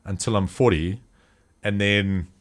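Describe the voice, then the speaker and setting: A man's voice: on the low side, steady tone, unhurried. A man in his thirties chatting casually on a podcast, rambling and acting out little scenes as he makes his point. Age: 30s. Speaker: podcast co-host. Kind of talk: casual chat.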